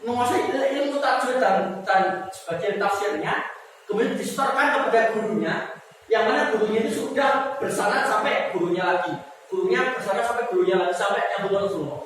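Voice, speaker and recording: A man's voice: sits high, fairly quick, fairly bright tone, slight background noise. Man teaching in an echoing mosque hall. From Indonesia. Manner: explains step by step, repeats key words, asks the class questions. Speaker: Quran recitation teacher. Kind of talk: mosque lesson on tajwid. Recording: roomy echo with long reverb, from far off.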